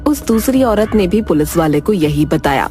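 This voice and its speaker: A woman's voice: lowish voice, lively intonation, normal speed, dark tone, soft background music. A woman narrator reading a scripted story in storytelling style.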